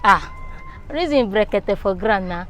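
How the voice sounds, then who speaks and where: A woman's voice: medium pitch, animated, unhurried, a bit bright. A Nigerian woman arguing her opinion casually and emphatically. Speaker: interview respondent. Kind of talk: casual debate, slipping into Pidgin.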